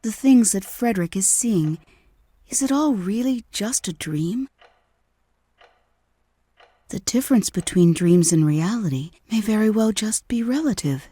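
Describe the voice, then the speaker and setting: A pensive, caring woman from a game cutscene, mid-pitched and mellow. Her speech is soft, hesitant and reflective, recorded very dry. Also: lively intonation, measured pace, long pauses, slightly rough, no room sound. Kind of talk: video game cutscene voice acting.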